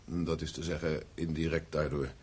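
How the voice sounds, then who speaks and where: An actor in a radio drama playing a stern, embittered old grandfather who speaks in a formal, measured, blunt way. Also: very deep voice, level tone, darkish tone, a little hiss or hum. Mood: bitter, cool. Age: older.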